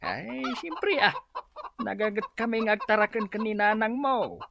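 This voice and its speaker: A man's voice: high-pitched, unhurried, gravelly, dull audio, background noise. A man playing a homely older village father in a radio comedy-drama, with an Ilocano accent. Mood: folksy.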